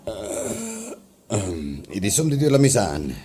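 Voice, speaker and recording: A bossy, jovial male office superior with an Ilocano accent, played by a man. His pitch swings widely as he orders staff about in comedy radio play style. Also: wildly swooping pitch, slow pace, mellow, slightly rough, heavily acted. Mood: amused, casual. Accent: Filipino, Ilocano. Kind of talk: Ilocano comedy drama podcast acting.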